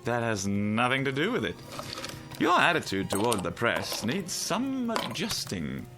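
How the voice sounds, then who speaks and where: An actor playing a tipsy, gloomy newspaper editor in a radio drama, florid and sardonic. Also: male voice, mid-range voice, huge pitch swings, unhurried. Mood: mock-grand. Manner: florid, mock-grand. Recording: radio drama with clear music bed.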